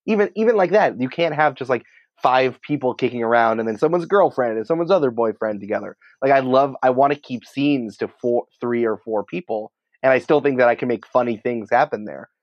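A man's voice: medium pitch, animated, fairly quick, no room sound, dull audio. An American man answering interview questions in an informal, emphatic, conversational way, peppering his speech with "like". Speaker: podcast producer and writer, interview guest.

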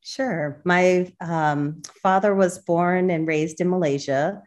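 Woman guest, very low voice, thoughtful, frequent fillers, podcast interview. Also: slow, a little mellow. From Boston, US. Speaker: obstetrician-gynecologist guest.

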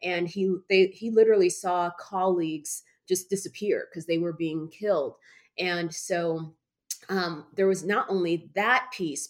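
A woman in her forties, originally from Uganda, talks as a guest in a conversational interview. Her voice sits a little low for a woman, and her delivery moves between bubbly enthusiasm and earnest conviction. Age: 40s. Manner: warm storyteller, bubbly when excited, earnest about faith. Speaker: guest author.

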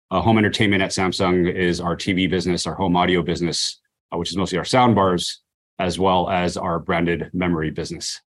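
Male executive interviewee, low-pitched voice, explanatory and conversational delivery. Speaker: corporate marketing executive being interviewed.

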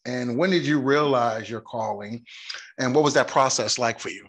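A man's voice: medium pitch, moderate pace, a bit bright. A man hosting a podcast, interviewing a guest over the phone in an upbeat, encouraging way. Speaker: podcast host.

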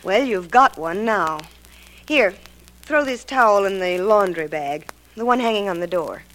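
An actress playing a brassy stage star in an old radio drama, slangy and direct. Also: female voice, medium pitch, animated, a little slow, a bit bright, rough recording. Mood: brisk, wisecracking. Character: brassy, wisecracking female Broadway stage star. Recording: old radio recording with faint noise.

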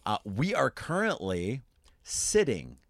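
A man hosting a faith podcast in casual chat, his voice scratchy and worn from yelling, relaxed and laughing easily between fillers. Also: medium pitch, takes it slowly, dry recording, studio quality. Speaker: podcast host. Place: camper trailer.

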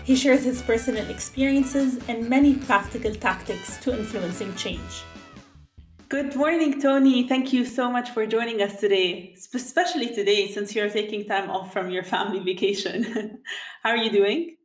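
A woman's voice: a little high, normal speed, roomy sound, faint music behind. Upbeat woman hosting a podcast. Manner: upbeat, scripted intro then conversational questions. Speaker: podcast host. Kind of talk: interview podcast.

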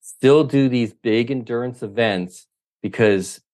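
A man's voice: mid-range voice, slow pace, darkish tone. A middle-aged man, a triathlon coach, answering interview questions in an emphatic, opinionated way, often running through lists. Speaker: triathlon coach, podcast guest.

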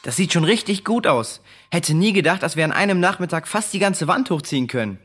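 A woman's voice: on the low side, animated, fairly quick, a little hiss or hum, lightly acted. Curious, concerned kid played by a woman. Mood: doubtful, eager. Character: curious, concerned child in a group of friends. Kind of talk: German children's radio play acting.

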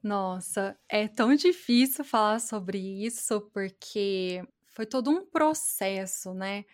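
A woman telling her story in a casual conversation, speaking softly and often trailing off with little tag questions. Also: medium pitch, expressive, slow pace, no room sound, studio quality.

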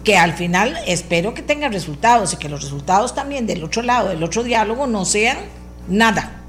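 A Costa Rican woman delivers opinionated commentary as a talk show host. Her voice is powerful and sits at an average pitch for a woman. She is emphatic and insistent, hammering phrases by repetition, with music audible along with her speech. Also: lively intonation, a little fast. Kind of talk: opinionated political commentary addressed to listeners.

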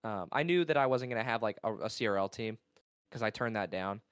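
A young man with a naturally loud, resonant voice tells his story in a relaxed interview. He rambles, gets excited and loses his place. The sound is dry and close. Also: lowish voice, a little fast, clear, resonant tone, no room sound. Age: young adult. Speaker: esports caster and college player. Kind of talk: casual interview talk.

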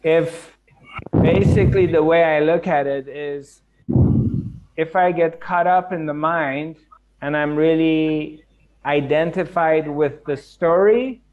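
A man with a slightly raised voice talks slowly and thoughtfully, stopping often to find his words. He answers questions about meditation over a video call. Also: fairly high pitch, narrow, tinny audio. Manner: slow, reflective, hesitant with many fillers. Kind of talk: casual spiritual Q&A.

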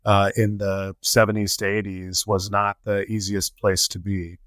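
A middle-aged man reflects on his life as an interview guest. His voice sits on the low side, and he builds his account phrase by phrase in a measured way. The sound is very dry, with no room around it. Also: level tone, fairly bright tone, no echo. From United States (Colorado) and Trinidad. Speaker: interview guest, nonprofit chief executive. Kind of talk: reflective personal storytelling in an interview.

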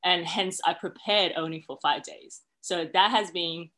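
Woman in her 30s, podcast guest, bright clear tone, open and chatty. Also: fairly low pitch, fairly even intonation, unhurried. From China, raised in Australia. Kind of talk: casual podcast conversation.

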